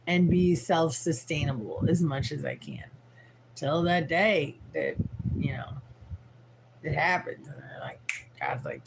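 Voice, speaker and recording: A tired woman in her forties with a raspy voice, rambling frankly and swearing as she chats on a livestream. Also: fairly low pitch, slight background noise, low-quality recording. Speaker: livestream host. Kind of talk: casual livestream chat.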